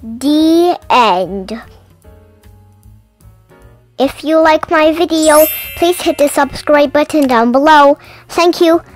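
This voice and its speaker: A woman hosting her own channel, reading a children's picture book aloud with gentle, clear enunciation and playful emphasis. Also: sits high, slow pace, long pauses, faint music behind. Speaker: storybook reader and channel host.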